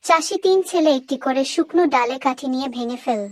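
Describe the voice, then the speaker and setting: A woman narrating a moral story for children in a clear, didactic storytelling manner. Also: high voice, fairly quick, dry recording.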